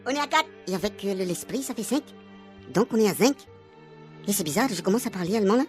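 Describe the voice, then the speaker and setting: A man playing a naive, nervous young man who is earnest, questioning and slow-witted in a radio comedy serial. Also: high voice, expressive, voice pushed up. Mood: earnest confusion. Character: naive, nervous, literal-minded young man in a gang of friends. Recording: music under the voice.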